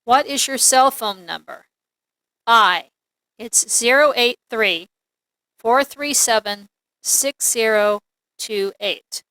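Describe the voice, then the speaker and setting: A woman gives an English lesson in a bright, fairly high voice. She reads model sentences aloud deliberately, articulating each word for learners. The recording is close and dry. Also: dry recording, low-quality recording. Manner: deliberate, clearly enunciated, repetitive drilling. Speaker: English teacher. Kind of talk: slow instructional English lesson, reading examples aloud.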